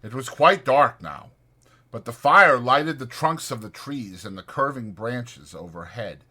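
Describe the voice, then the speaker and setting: A man narrating a dramatized audiobook in a measured, deliberate storytelling manner. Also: medium pitch, expressive, bright, forceful tone, breathy edge.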